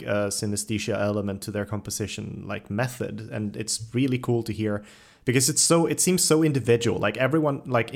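Halting, appreciative man with medium-pitched voice. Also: gravelly. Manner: halting, rephrases questions, appreciative. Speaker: podcast interviewer, host. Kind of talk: casual interview chat.

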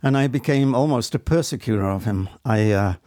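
A man in his seventies with an English accent, speaking reflectively and haltingly as an interview guest. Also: medium pitch, mellow, dry recording, clean studio sound. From England. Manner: halting, deliberate.

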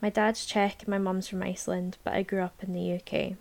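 A woman's voice: mid-range voice, flat delivery, a little fast, creaky, a little mellow, natural voice. A woman voicing a prickly young student. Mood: defensive. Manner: blunt, self-conscious, defensive.

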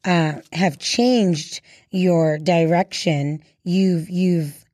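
Woman, lowish pitch, mellow, very slow pace, dry sound, conversational interviewer. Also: fairly even intonation, dry recording. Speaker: interviewer, host.

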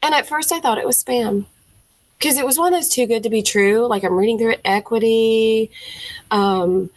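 A woman's voice: a little high, normal speed, no room sound. A young woman from Tennessee with a Southern accent, a music teacher speaking as a podcast guest, telling her story animatedly with frequent fillers and acted-out reactions. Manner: animated, acts out her own quoted reactions; frequent fillers, thinks aloud. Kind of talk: casual storytelling interview.

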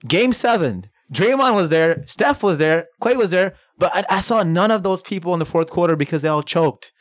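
A man's voice: high-pitched, lively intonation, moderate pace, dry recording, studio quality. A man from New York, a guest on a sports podcast, telling sports stories with emphatic energy. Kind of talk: casual sports chat.

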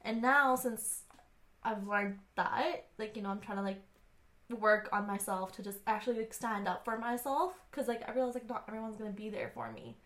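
A young woman co-hosting a podcast, telling personal stories casually in short, halting bursts. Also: mid-range voice, a bit bright, reverberant. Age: young adult. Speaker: podcast co-host. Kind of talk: casual chat, personal anecdotes.

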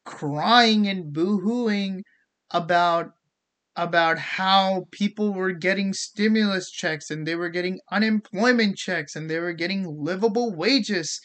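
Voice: mid-range voice, a bit bright. Theatrical American-accented speaker with slight Indian traces. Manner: theatrical, sarcastic, swearing, acts out mock quotes. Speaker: host of the show. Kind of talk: casual opinionated rant.

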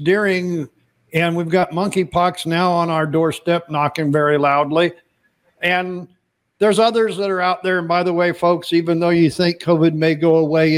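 A man hosting a podcast interview, talking in a loose, rambling way and often repeating words as he starts a sentence. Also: on the high side. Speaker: podcast host. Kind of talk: casual interview chat.

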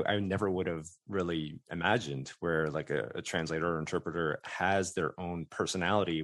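Low-voiced curious podcast host. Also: male voice, fairly bright tone, dry recording. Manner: curious, thinks aloud with fillers. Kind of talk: casual interview talk.

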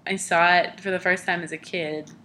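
A young woman with a low, bright voice talks in an easy, casual way. She rambles as she goes and fills her sentences with "like" and "you know.". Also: fairly even intonation, normal speed. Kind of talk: casual conversational chat.

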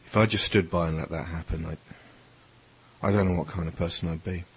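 A man's voice: sits low, fairly even intonation, normal speed, husky, exaggerated voice. A man playing an earnest, troubled schoolboy in an audio drama. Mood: heated, earnest. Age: teenage schoolboy figure. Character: earnest, troubled schoolboy pleading against violence. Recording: music under the voice.

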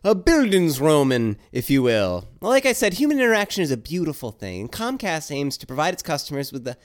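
A woman as a very animated proud tease. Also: low voice, fairly quick. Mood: grandly smug. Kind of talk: comedic audio drama with singing.

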